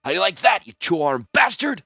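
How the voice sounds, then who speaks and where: A male narrator plays a desperate, vengeful wounded prisoner in a fantasy audiobook. He snarls and seethes, pitched up and forceful, with wide swings in his delivery. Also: big pitch swings, moderate pace, gravelly, breathy edge, no echo, studio quality, full character voice. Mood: vengeful, bitter. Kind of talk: dramatic fantasy audiobook character.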